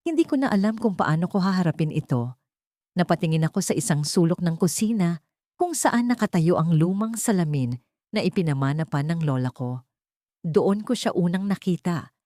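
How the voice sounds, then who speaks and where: Woman, low-pitched, dark soft timbre, scripted suspense narration, first-person storyteller, dry recording. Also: dry recording.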